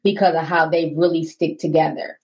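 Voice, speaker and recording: A woman's voice: low-pitched, flat delivery, fairly quick, a little mellow, lo-fi sound. A woman hosting a call-in show, greeting callers and prompting them with short replies. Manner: brief affirming responses. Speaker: talk show host.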